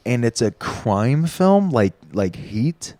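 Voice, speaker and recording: A man from Iowa co-hosting a podcast, chatting casually and riffing with quick, profane jokes and put-on voices. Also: mid-range voice, big pitch swings, warm tone, dry recording. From Des Moines, Iowa. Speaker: podcast co-host. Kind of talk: casual comedic chat.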